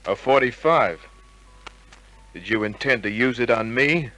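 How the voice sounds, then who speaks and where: Sardonic hardboiled private eye, old radio. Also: male voice, medium pitch, measured pace, bright, forceful tone, low-quality recording. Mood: tough, wary. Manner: sardonic, clipped, tough-talking. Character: wisecracking, suspicious, flirtatious hardboiled male private detective. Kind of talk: 1950s radio crime drama acting and first-person narration. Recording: old radio drama recording with music.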